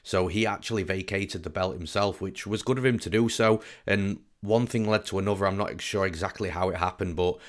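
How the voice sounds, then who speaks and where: Low, monotone man, slightly croaky. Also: fairly quick, hardly any pauses. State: slightly croaky, under the weather. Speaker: MMA betting analyst, show host. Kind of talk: informal fight breakdown commentary.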